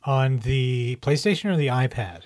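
A man's voice: medium pitch, animated, moderate pace, a little mellow, dry recording, studio quality. A man co-hosting a podcast in casual banter, teasing and jokey. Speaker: podcast co-host.

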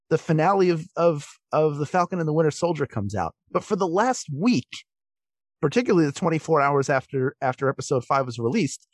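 A man's voice: a little high, husky, no room sound. Dry, close-sounding man chatting casually. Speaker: podcast guest, voice performer. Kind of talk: casual discussion on a podcast.

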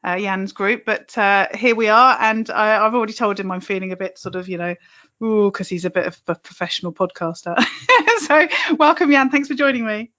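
A woman's voice: medium pitch, brisk, fairly bright tone, highs cut off. A woman hosting a podcast, bubbly and chatty, who laughs a lot. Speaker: podcast host. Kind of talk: podcast intro and interview.

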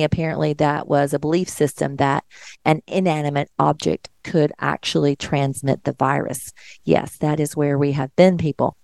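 A middle-aged woman with a deep, gravelly voice talks straight to her audience in an earnest, emphatic monologue. Her tone is dark and mellow, recorded dry and close with no room sound. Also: no echo. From Georgia, USA. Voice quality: deeper voice since an illness. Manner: earnest, emphatic, speaks to listeners directly. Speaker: nurse and podcast host. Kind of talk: monologue commentary.

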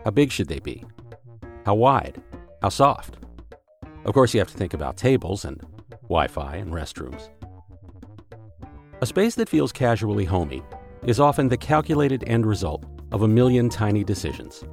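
A man's voice: fairly low pitch, big pitch swings, normal speed, creaky, long pauses, faint music behind. A male podcast host narrating a scripted story and posing rhetorical questions.

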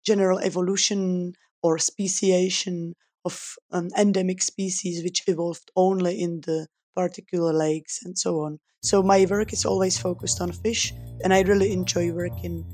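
A woman from the Republic, a research scientist giving thoughtful interview answers with frequent pauses. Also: on the low side, little pitch movement, darkish tone, no echo, faint music behind. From Czech Republic. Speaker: guest scientist.

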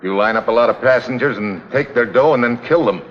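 An actor playing a tough, wry investigator with an American accent, clipped and slangy, in 1950s drama style. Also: male voice, medium pitch, a little fast, natural voice. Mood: bitter, surprised. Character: tough, wry, hard-boiled male investigator. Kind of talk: 1950s television drama acting. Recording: echoey, dull, noisy vintage soundtrack.

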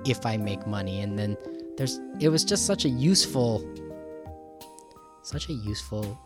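A man's voice: fairly low pitch, expressive, normal speed, over music. A man hosting a podcast interview in an enthusiastic, rambling, conversational way. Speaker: podcast host.

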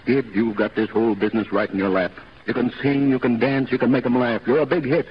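A man's voice: mid-range voice, fairly even intonation, fast, highs cut off, a little hiss or hum, lightly acted. A man acting in a 1930s radio drama as a blunt, fatherly veteran Broadway manager, firm and straight-talking. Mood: stern.

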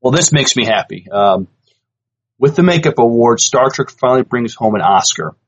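A man co-hosting a podcast, reading news items and chatting casually, sometimes haltingly, on a lo-fi recording. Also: medium pitch, expressive, slightly rough. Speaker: podcast co-host. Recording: dull, lo-fi podcast audio.

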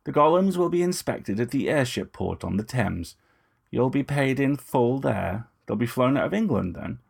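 Man acting a fat courtly vampire, predatory, oily and formal, pitched up, gravelly, audio fiction. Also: lively intonation, normal speed, full character voice. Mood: smug, menacing. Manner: unctuous, formal, menacing. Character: corpulent, genteel, predatory male vampire with ragged breath.

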